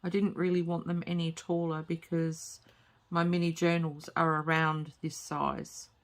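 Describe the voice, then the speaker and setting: A woman with a slightly croaky voice, hosting a casual craft tutorial at home and talking hesitantly with many fillers. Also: sits low, little pitch movement, unhurried. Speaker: crafting channel host. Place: home craft table, late at night.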